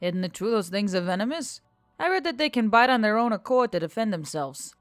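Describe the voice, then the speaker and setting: A woman's voice: mid-range voice, expressive, fairly quick, dry recording, soft background music, lightly acted. Lady doing a snippy horse-tailed know-it-all woman, blunt, audiobook, dry sound. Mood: pointed. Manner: blunt, condescending.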